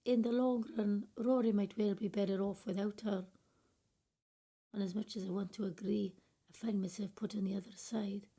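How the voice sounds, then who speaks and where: Actress as husky, judgmental female care worker. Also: mid-range voice, fairly even intonation, moderate pace, dark tone. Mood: weary, conflicted. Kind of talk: dramatic monologue in a radio play.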